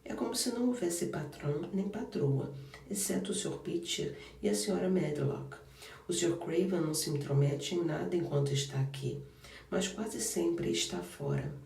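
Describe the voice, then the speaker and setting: A man's voice: fairly high pitch, moderate pace, creaky, a little mellow. A man voicing a sturdy, good-humored young housemaid who talks freely and firmly, in an audiobook. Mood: chatty. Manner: chatty, good-humored and firm. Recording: roomy and distant from the mic.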